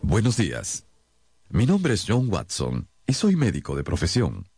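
An actor playing a gentlemanly physician who recounts detective cases in a radio drama, with measured, theatrical delivery and deliberate pauses. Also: male voice, low-pitched, huge pitch swings, husky, no room sound, full character voice. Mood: genial, proud. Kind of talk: radio drama first-person narration.

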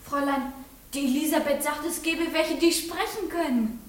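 High-voiced timid little schoolgirl, acted by a female performer. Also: moderate pace, roomy sound, close to an everyday voice. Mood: hesitant. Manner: timid, brief, earnest replies. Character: shy, earnest little village schoolgirl. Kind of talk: German radio play acting.

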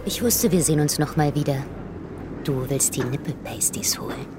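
An actress playing a seductive, brazen woman of the criminal underworld in a German radio drama, cheeky and given to breathy murmurs. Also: sits low, expressive, normal speed, heavy background noise. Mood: seductive, flirty.

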